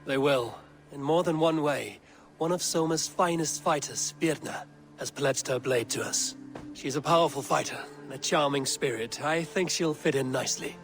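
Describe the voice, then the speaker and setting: A man playing a confident, proud Viking warrior leader in a video game, speaking in a measured, formal way. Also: on the high side, a bit bright, faint music behind. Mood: calm, pleased. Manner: measured, stately, formal speech.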